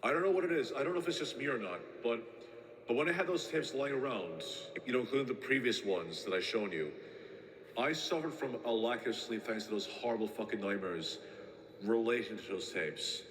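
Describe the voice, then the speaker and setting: A man's voice: mid-range voice, lively intonation, fairly bright tone, roomy sound, long reverb tail, close to an everyday voice, poor audio. An actor playing an uneasy male tape collector who rambles and halts as he leaves a warning message. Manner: rambling, halting, with many restarts.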